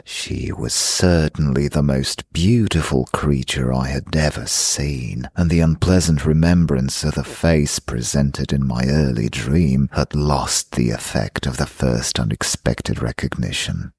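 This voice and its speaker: A man narrating a dark gothic audiobook in a gravelly, husky voice, with a solemn, theatrical delivery. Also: very deep voice, no echo.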